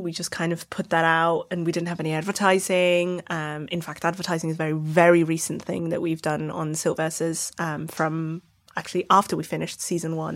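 A middle-aged woman chatting casually as a podcast guest who produces and directs. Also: lowish voice. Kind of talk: casual chat.

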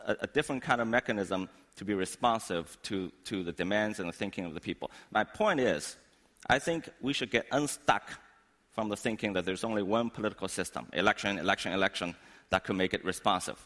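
Man, low voice, assertive, rhetorical questions, long reverb. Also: a little fast, fairly bright tone. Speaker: interview guest. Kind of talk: opinionated interview answer.

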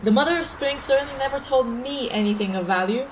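A woman's voice: high voice, fast talker, noisy background, natural voice. A woman acting in an audio drama as a guarded woman with divine powers, evasive and defensive.

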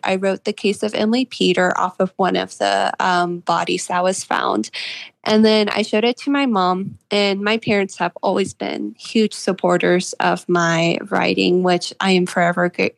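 Female, twenties, American. Gravelly fry on the voice, earnest guest-author interview delivery, dry sound. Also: mid-range voice, dry recording. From Charleston, West Virginia, United States. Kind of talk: conversational interview answers.

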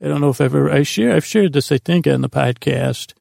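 A man with a creaky, gravelly voice hosting a sleep podcast in a meandering, halting, self-deprecating monologue full of tangents. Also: a little high, darkish tone, dry recording, clean studio sound. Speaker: podcast host.

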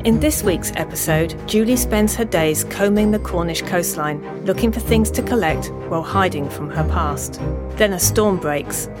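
A woman with a fairly low voice delivers a scripted podcast introduction as a mystery author. Loud music plays behind her throughout. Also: moderate pace.

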